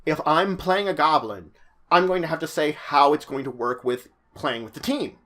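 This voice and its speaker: A man presenting a tabletop roleplaying guide in an informal, semi-scripted explanatory way, halting and correcting himself at times. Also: fairly high pitch, fairly bright tone.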